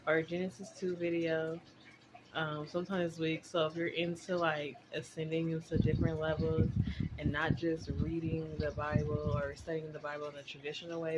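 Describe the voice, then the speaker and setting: A woman in her twenties chatting casually and candidly to her viewers, rambling in a conversational vlog style. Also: deep voice. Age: 20s. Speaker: vlogger. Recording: very noisy recording with clicks.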